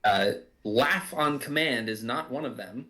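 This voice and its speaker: A man's voice: mid-range voice, measured pace, sharp tone. A man talking casually in a group commentary as a drama's creator, thinking aloud in a rambling way. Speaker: audio drama writer and director.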